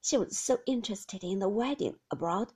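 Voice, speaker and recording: A woman's voice: mid-range voice, lively intonation, moderate pace, mellow, breathy edge. A woman voicing an upper-class sister-in-law who speaks in gushing, emphatic exclamations. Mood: dismayed.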